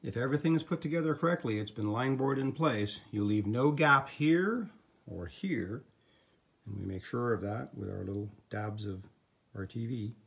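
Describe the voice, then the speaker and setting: An older man explaining engine assembly step by step in a garage workshop. He sounds practical and instructive, with quick asides as he works. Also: mid-range voice, lively intonation, creaky. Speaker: engine builder. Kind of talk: hands-on workshop demonstration.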